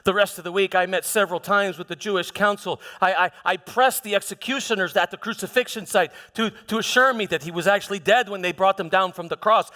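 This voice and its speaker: A man's voice: high voice, pushed and bright. A man playing a troubled Roman governor in a theatrical monologue, stammering and defensive as he justifies himself. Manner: halting, stammering, self-justifying, emphatic. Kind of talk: theatrical church monologue, first-person dramatization. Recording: long reverberant echo of a hall.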